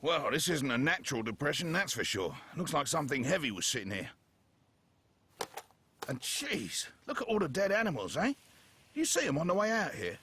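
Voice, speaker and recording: A man playing a chatty, matter-of-fact field investigator in an animated film, with casual, wry delivery. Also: a little high, normal speed, slight background noise. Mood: puzzled, matter-of-fact. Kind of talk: animation dub acting.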